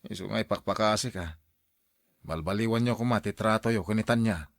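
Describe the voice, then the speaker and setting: A man's voice: lowish voice, brisk, creaky, dry recording, studio quality. A man playing a frank adult son who questions and reproaches his mother in an Ilocano radio drama, with an Ilocano accent. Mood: pleading.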